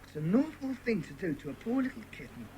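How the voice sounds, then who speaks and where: A very animated schoolboy acted by a woman, excitable, kindly and a touch nervous. The speech is exclamatory and swings widely in pitch, in a children's audio drama with clear background noise. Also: quick pace, lightly acted, low-quality recording. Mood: curious, kindly. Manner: expressive, exclamatory.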